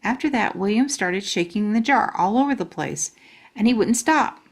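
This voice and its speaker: A woman's voice: medium pitch, lively intonation, moderate pace. A woman reading a children's storybook aloud as a storytime reader.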